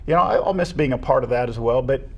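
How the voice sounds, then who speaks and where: Casting: middle-aged American man, average pitch, sincere and appreciative, relaxed interview talk from a school athletics staffer. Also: fast, gravelly, muffled recording. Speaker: retiring assistant athletic director, interview guest. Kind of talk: casual conversational interview.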